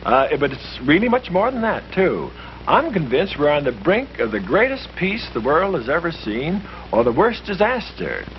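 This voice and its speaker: Casting: American male priest, middle-aged, earnest persuader, expressive mid-pitched voice, faint hum on dull vintage soundtrack. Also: normal speed. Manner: earnest, rhetorical. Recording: muffled, humming old film soundtrack.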